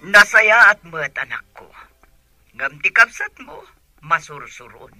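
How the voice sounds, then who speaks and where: Exasperated, confiding Filipina mother, radio serial; piercingly bright, animated, dry sound. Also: mid-range voice, measured pace, soft background music, heavily acted. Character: middle-aged mother, exasperated, confiding gossip. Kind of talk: Ilocano radio drama acting.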